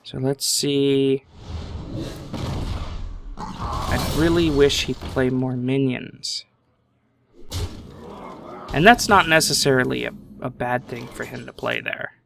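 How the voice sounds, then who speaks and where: A man commentating his card game match casually, thinking aloud at an unhurried pace, his voice a little congested from a sinus infection. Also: medium pitch, vocal fry, a bit bright, a little hiss or hum.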